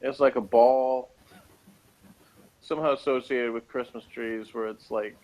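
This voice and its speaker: A man's voice: mid-range voice, steady tone, unhurried, darkish tone, poor audio. A man chatting informally as co-host of a review, tossing in loose asides. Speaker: co-host of a sci-fi TV episode review. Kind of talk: casual chat and commentary.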